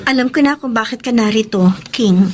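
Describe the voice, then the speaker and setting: A woman's voice: on the high side, fairly quick, loud music behind the voice, lightly acted. An actress playing a principled young woman in a Filipino radio drama, speaking with earnest, pleading emotion. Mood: grave, confessing. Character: young woman, Kate, loyal principled friend standing firm.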